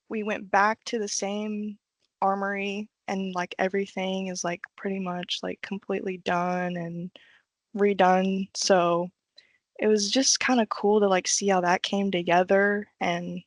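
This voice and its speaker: A woman's voice: medium pitch, fairly even intonation, unhurried, dry recording. Young woman chatting informally, dry sound. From Illinois, United States. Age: young adult. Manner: informal, frequent "like". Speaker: engineering intern, podcast guest. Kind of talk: casual chat.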